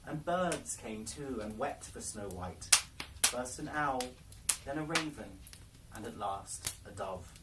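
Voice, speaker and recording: A man narrates a fairy tale with theatrical, carefully measured phrasing and a medium pitch. The recording is noisy and sounds slightly distant from the microphone. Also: expressive. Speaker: library storyteller. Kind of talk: dramatic fairy-tale read-aloud.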